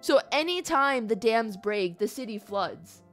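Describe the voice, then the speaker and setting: A woman's voice: a little high, expressive, a little slow, a bit bright. A woman livestreaming a game, talking in a playful, reactive, conversational way as she comments. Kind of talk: casual live gaming commentary. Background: faint game music.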